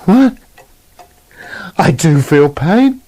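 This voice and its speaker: Slow, argumentative wolf. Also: male voice, a little high, very animated, dark tone, full character voice. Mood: pained, aggrieved. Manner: questioning, argumentative, colloquial. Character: talking wolf, a plain-speaking, aggrieved family man. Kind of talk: radio play acting.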